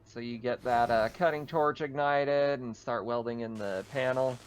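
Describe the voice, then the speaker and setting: A man's voice: mid-range voice, moderate pace, slight background noise. A man narrating a tabletop roleplaying game as game master in an easygoing, explanatory way, on slightly dull audio.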